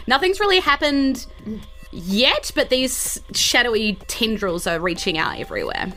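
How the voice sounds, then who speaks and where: A woman with a bright, forward voice runs a tabletop role-playing game. She explains things informally and haltingly, feeling her way through the narration, with faint music underneath. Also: fairly high pitch, lively intonation. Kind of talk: unscripted game narration, casual.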